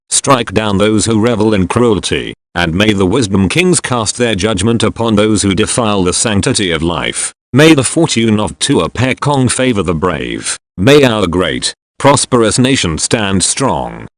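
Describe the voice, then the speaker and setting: A low-voiced, grave government alert announcer played by a man delivers a dystopian emergency broadcast. The delivery is formal and measured, yet the pitch moves noticeably through each phrase. The sound is dry and close, with no room around it. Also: no echo. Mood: solemn invocation. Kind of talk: scripted emergency broadcast narration.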